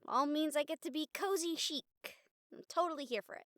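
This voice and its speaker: A young woman playing a bubbly, encouraging college student in a casual, chatty, expressive roleplay audio drama. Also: sits high, normal speed, no room sound, studio quality. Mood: excited, delighted. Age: young adult. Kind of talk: roleplay audio drama acting, speaking to listener.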